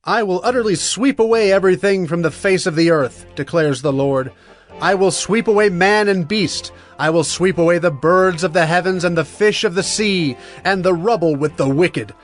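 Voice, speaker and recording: An actor playing a stern, urgent Hebrew prophet of doom in a solemn, emphatic dramatized scripture reading. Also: male voice, sits high, close to an everyday voice. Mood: stern warning. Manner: solemn, emphatic, deliberate oratory. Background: music.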